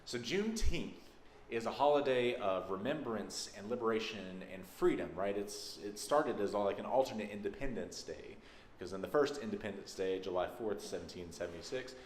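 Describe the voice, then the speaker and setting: Low-voiced Texan minister in an echoey sanctuary. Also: male voice, brisk. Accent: Texas. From Texas, United States. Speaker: minister leading a church service. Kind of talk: informal spoken welcome to a congregation. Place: large church sanctuary, indoors. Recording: echoey sanctuary with background noise.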